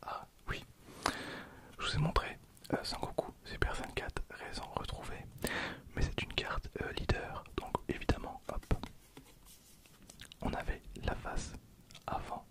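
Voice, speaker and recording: A man in his twenties whispering for an ASMR video, soft and hesitant with frequent fillers, speaking close to a recorder. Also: a little slow, pauses often. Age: 20s. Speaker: ASMR creator. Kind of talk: whispered ASMR card opening. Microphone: handheld recorder behind the camera.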